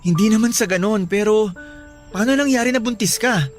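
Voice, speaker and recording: A man playing a sweet, eager young college boyfriend in a Filipino radio drama, gentle and a little hesitant. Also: sits high, a little fast, over music, natural voice. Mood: startled, hesitant.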